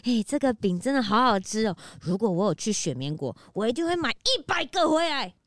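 Woman as an eager, squeaky little detective's assistant. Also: very animated, a little fast, dry recording, clean studio sound. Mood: puzzled, chatty. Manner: childlike, curious, exclamatory questioning. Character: naive, eager young male animal detective's assistant. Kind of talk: children's detective audio drama.

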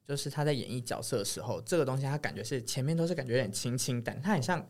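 A man with a Taiwanese Mandarin accent talks in a medium-pitched voice. He sounds like a podcast co-host in casual conversation, informal in his phrasing. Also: animated, rapid-fire. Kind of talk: casual chat.

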